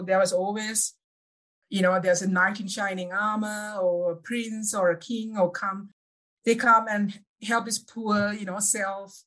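A woman's voice: mid-range voice, rough recording. Interview guest: woman, Sri Lankan accent, second-language English, mid-range voice, searching for words as she reflects. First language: not English (English is second language). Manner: halting, searching for words, self-correcting. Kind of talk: reflective personal interview answers.

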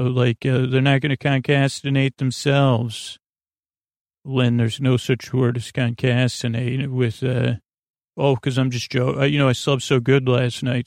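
A man hosting a sleep podcast, rambling in a drowsy, meandering way and gently reassuring his listeners. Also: medium pitch, vocal fry, no echo, studio quality. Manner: meandering, drowsy, self-interrupting.

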